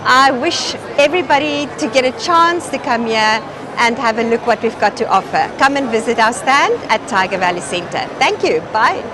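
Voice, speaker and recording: A woman's voice: fairly high pitch, expressive, moderate pace, background noise. A woman marketing manager giving an upbeat, inviting promotional message at an expo. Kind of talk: promotional address to camera. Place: mall expo.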